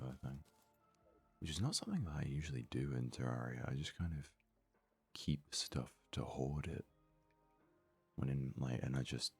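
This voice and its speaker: A man with an extremely low voice, heavy with gravelly fry, murmurs casual ASMR game commentary. He speaks softly and close to the microphone, with faint music playing behind him. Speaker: gaming video host.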